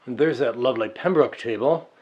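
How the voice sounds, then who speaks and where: A man playing a fussy, forward middle-class gentleman in a dramatized novel reading, chatty and genteel. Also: mid-range voice, a little fast, muffled recording, lightly acted. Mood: breezy, forward.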